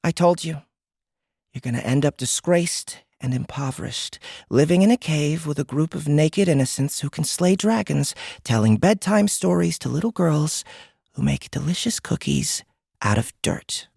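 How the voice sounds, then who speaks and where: A woman playing an ominous, sardonic fortune-teller in an audiobook drama, with a creaky voice and a portentous, dry manner. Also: deep voice, expressive, normal speed, mellow, no room sound, heavily acted.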